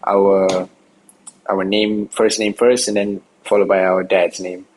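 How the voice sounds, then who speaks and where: A man's voice: sits low, level tone, takes it slowly, poor audio. A young man from Singapore answering interview questions outdoors in a casual, rambling way, full of fillers and restarts. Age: young adult. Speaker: interview guest, rapper.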